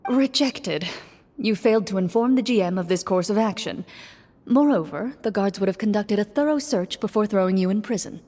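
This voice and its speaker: Game role: composed young woman game master, played by an actress. Mid pitch, formal, deadpan, hall reverb. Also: moderate pace, close to an everyday voice. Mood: matter-of-fact. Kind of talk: scripted game voice acting, narrating a tabletop game. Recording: echoey hall reverb, music behind.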